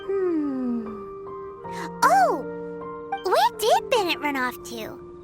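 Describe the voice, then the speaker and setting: A woman voicing a tiny, excitable childlike fairy companion in a video game, squeaky and exclamatory. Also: extremely slow, full character voice. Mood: concerned, eager. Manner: exclamatory, refers to herself by name. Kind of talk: animated video game acting. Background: music.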